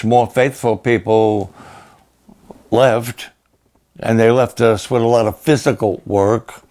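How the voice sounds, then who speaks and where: Slow, raspy-voiced older male pastor. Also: mid-range voice, a little mellow. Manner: slow, halting, plainspoken, repeats himself. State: hoarse after illness and a long program. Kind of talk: slow personal update to supporters.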